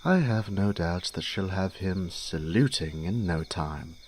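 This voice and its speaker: A man's voice: low voice, lively intonation, measured pace, a little hiss or hum. A man playing a teasing young nobleman in a fantasy audio drama, speaking in a formal, archly polite manner. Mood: amused, chatty. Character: teasing, urbane young nobleman, elder brother in fantasy court.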